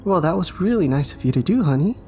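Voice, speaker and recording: A man's voice: fairly high pitch, expressive, fast, mellow, faint music behind, voice pushed up. A man voicing an anxious, doting mother in an audio drama, fussing affectionately over a child. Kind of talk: audio drama character dialogue.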